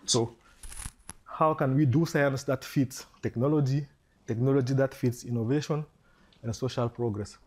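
A man's voice: medium pitch, steady tone, normal speed, darkish tone. Earnest man giving a talk. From Cameroon. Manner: earnest, builds up with frequent "so" and "and then". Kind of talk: public talk.

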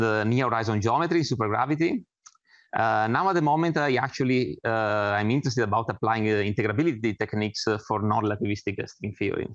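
Italian man presenting, halting delivery. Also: mid-range voice, fairly bright tone, no room sound, lo-fi sound. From Italy. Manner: hesitant, halting speech with many filled pauses. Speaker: academic researcher introducing himself and his work. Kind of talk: informal presentation with slides.

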